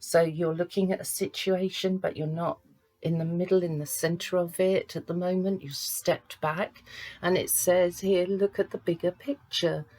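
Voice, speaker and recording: A woman working as a psychic medium, giving a card reading to camera in a halting, searching way. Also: fairly low pitch, fairly even intonation, darkish tone, rough recording. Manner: halting, searching, pauses to sense impressions.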